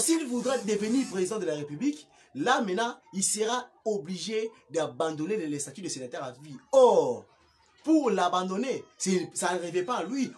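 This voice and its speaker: Male political commentator, Congolese accent, high register, emphatic and repetitive, unscripted with halting restarts. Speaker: political party activist and commentator.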